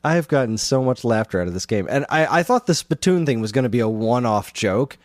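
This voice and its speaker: A man's voice: medium pitch, brisk, dry recording, studio quality. A man co-hosting a podcast, chatting casually and enthusiastically about video games. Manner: enthusiastic, animated. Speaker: podcast co-host.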